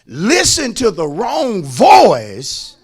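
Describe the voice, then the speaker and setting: A man's voice: high voice, huge pitch swings, drawn-out words, husky. A man preaching as a pastor, emphatic, repeating phrases and calling on his listeners to respond. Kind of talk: sermon.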